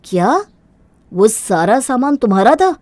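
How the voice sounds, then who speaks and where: An actor playing a caring young husband and dutiful son in a cartoon moral story, earnest and concerned. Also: male voice, very high-pitched, big pitch swings, a little slow, a little mellow, no echo, exaggerated voice. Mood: puzzled.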